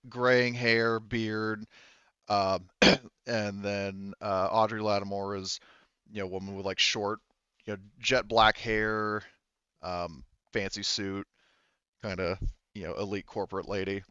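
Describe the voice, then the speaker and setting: Low, smooth-voiced man running a game. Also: flat delivery, bright, forceful tone, no room sound, dull audio. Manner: halting, informal, loosely improvised delivery. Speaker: game master. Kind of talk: narrating a tabletop roleplaying game, casual.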